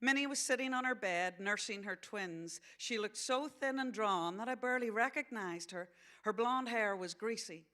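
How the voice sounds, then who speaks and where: A woman playing an earnest sixteen-year-old farm girl who narrates a novel in an attempted American accent, with measured delivery. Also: medium pitch, sharp tone, pitched above the performer's own voice, close to an everyday voice. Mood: earnest, observant. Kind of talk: dramatised novel reading.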